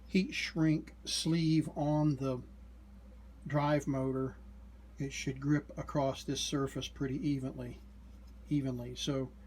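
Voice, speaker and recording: A man hosts a repair video in a slow, unhurried voice that sits a little high. His delivery is flat and even. He talks casually and haltingly, thinking aloud through each step. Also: slight background noise. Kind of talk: casual hands-on repair narration.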